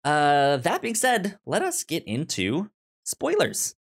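Podcast host, unhurried, mid pitch, halting and stammering, casual movie chat. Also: huge pitch swings, heavy background noise. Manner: halting, stammering with repeated syllables.

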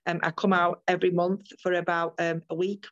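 A woman's voice: on the low side, level tone, a little fast, no echo, highs cut off. An older woman from the UK, a midwife, talking earnestly about her work as a guest in an interview, with frequent ums and restarts. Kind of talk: interview over a call.

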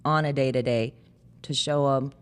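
A woman's voice: very deep voice, fairly even intonation, moderate pace, clear, resonant tone. An American woman in her thirties chatting as a parent, explaining things casually with plenty of "like". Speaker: parent sharing family testimony. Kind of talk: casual conversational testimony. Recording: long reverberant hall echo.

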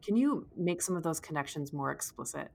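Woman, podcast interviewer, lowish pitch, pronounced vocal fry, thoughtful questions, measured careful phrasing. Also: lively intonation, darkish tone, slight background noise.

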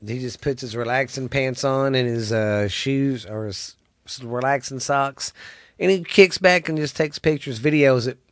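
Stuffy laid-back Texan podcast host. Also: male voice, mid-range voice, unhurried, fairly bright tone, dry recording, clean studio sound. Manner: laid-back, rambling, swears freely. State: stuffy, congested. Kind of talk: casual solo podcast chat.

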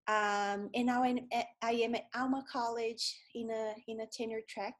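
A woman in her thirties from Brazil with a Brazilian Portuguese accent, speaking as a guest in a podcast interview, thoughtful and measured, with frequent pauses. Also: fairly high pitch, flat delivery. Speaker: guest, dance professor.

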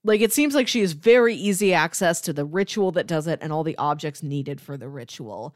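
A woman's voice: deep voice, animated, fairly quick, no room sound, studio quality. A woman co-hosting a podcast, talking through a book in a casual, conversational way. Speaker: podcast co-host.

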